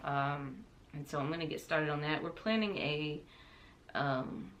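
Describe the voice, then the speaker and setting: A young woman talks casually, as if vlogging to a camera. Her voice sits a little low for a woman. She rambles along in a chatty, self-deprecating, giggly way. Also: unhurried, a bit bright, slight background noise. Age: young adult.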